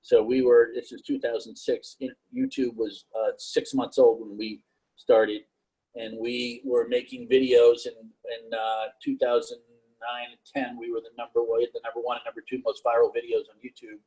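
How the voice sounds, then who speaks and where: Halting, flat-voiced middle-aged man. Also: mid-range voice, husky, rough recording. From New York. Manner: monotone, halting with many fillers. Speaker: panelist. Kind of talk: casual career talk on a video call.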